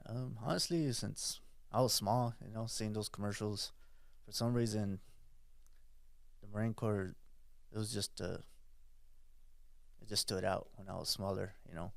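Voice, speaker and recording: Male veteran guest, 40s, from South Texas, low gravelly voice, dry close sound. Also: fairly even intonation, measured pace, pauses often, no echo. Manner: plainspoken, hesitant, many fillers. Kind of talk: casual interview.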